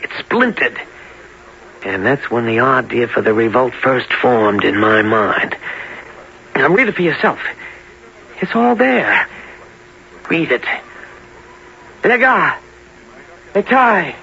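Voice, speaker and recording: A man's voice: mid-range voice, animated, takes it slowly, gravelly, long pauses, sharp tone, full character voice. An actor playing an earnest, haunted young man in a 1970s radio drama, hesitant and dramatic in his delivery. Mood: earnest, agitated. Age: young adult. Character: earnest, intense young man haunted by past-life memories. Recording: dull, noisy 1970s radio recording.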